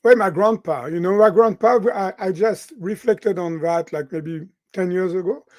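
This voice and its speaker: A man in his sixties from France with a French accent, a guest in an interview, talking in an animated way and often ending sentences with "right?". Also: high-pitched, moderate pace, no echo. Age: 60s. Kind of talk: interview conversation.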